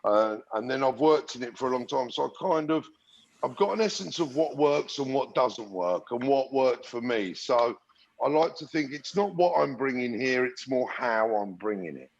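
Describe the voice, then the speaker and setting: A man's voice: medium pitch, expressive, normal speed, gravelly. A middle-aged man who grew up in Algeria and England, reflectively telling his story in an interview with many restarts. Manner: reflective, frequent restarts and fillers. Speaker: podcast guest. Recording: dull lo-fi sound.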